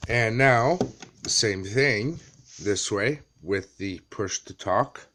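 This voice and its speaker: A man in his thirties giving a casual how-to walkthrough in a halting, plain-spoken way, pausing often. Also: lowish voice, very noisy. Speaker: amateur tutorial presenter. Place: small dim room indoors.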